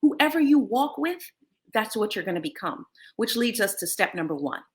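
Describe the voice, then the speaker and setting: A middle-aged American woman hosting a motivational talk, animated and laughing often as she speaks. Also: medium pitch, normal speed. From United States. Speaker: host.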